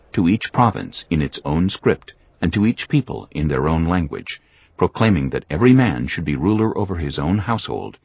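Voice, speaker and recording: Deep-voiced man narrating with expressive range. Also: gravelly, breathy edge, no echo. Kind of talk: dramatized scripted audiobook narration. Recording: slightly dull audio over music. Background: prominent background music.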